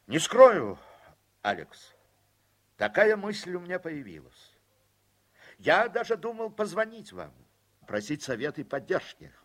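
A man acting in a radio play as an earnest, deferential judge, speaking in a deliberate and guarded way. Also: sits high, sing-song, exaggerated voice. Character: earnest, deferential male judge with political ambitions.

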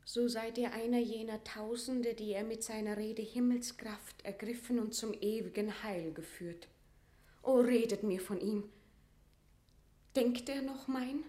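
A woman performing an imprisoned queen, proud and full of suffering. Her voice sits fairly high and carries classical stage verse with dramatic weight, in the grand manner of a radio play. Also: steady tone, a little slow, close to an everyday voice. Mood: tender longing. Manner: dramatic, swings from hushed to anguished. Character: captive queen, sorrowful, proud, passionately suffering.

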